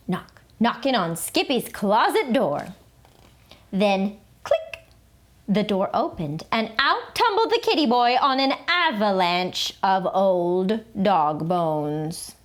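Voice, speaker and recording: Animated woman reading a children's book aloud. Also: medium pitch, slow pace. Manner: animated, theatrical storytelling. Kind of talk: expressive picture-book read-aloud.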